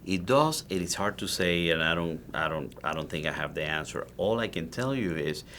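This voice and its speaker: A man with a deep, low Cuban Spanish accent tells his story in a relaxed interview, talking in animated bursts and often restarting his sentences. Spanish is his first language, and he sounds like he is in his forties. A faint ambience sits behind him. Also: fairly quick, a little hiss or hum. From Cuba. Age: 40s. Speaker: guest, cigar maker. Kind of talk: casual interview.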